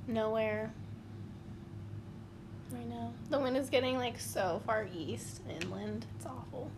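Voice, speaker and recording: A woman in her twenties chatting casually and telling animated stories. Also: a little high, unhurried, background noise. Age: 20s. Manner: animated storytelling, acts out quotes. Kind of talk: casual chat.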